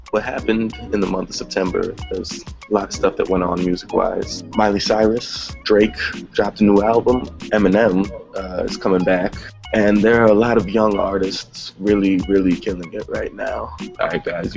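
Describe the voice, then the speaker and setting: A man's voice: fairly low pitch, steady tone, normal speed, vocal fry, darkish tone. A man from New Jersey hosting a casual music talk show. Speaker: host.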